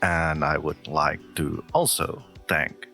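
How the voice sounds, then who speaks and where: A man's voice: a bass voice, expressive, slow, pushed and bright, faint music behind. A man who makes audio roleplays, thanking listeners in a channel outro.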